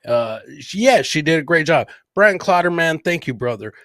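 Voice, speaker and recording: A man's voice: fairly high pitch, lively intonation, moderate pace, a bit bright, no echo, clean studio sound. A man from Michigan with an American accent, hosting a casual live podcast chat in a blunt, brash way and swearing freely. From Michigan, United States. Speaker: host of a live comedy podcast.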